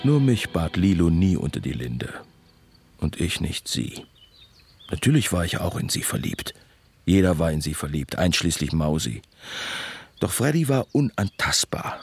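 A man's voice: low voice, very animated, a little slow, slightly rough, heavily acted. An actor playing a man looking back wistfully on his village youth, narrating a radio crime drama. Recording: music behind the voice.

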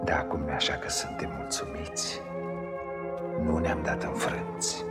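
An actor playing a reflective author narrating remembered childhood in a solemn, wistful 1950s Romanian radio play style. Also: male voice, very high voice, huge pitch swings, exaggerated voice. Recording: 1950s radio recording, loud music behind.

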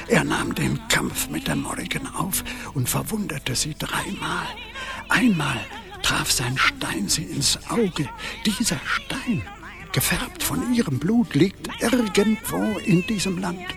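An actress playing a solemn, mysterious guide in a radio play, speaking in a portentous, breathy half-whisper. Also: female voice, on the low side, full character voice. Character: mysterious, solemn wise guide warning young heroes. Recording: music under the voice.

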